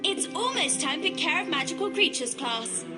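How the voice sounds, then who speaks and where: A woman's voice: very high-pitched, expressive, moderate pace. A woman playing an eager, studious schoolgirl witch in a video game, keen and earnest in manner. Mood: eager, brisk. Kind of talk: video game character acting. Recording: loud music under the voice.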